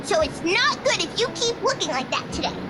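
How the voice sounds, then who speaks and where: A woman's voice: very high-pitched, big pitch swings, brisk, heavy background noise. A woman voicing an innocent, curious, earnest little girl in a visual novel, plain-spoken and childlike, with music behind her. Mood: matter-of-fact. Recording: loud music behind the dialogue.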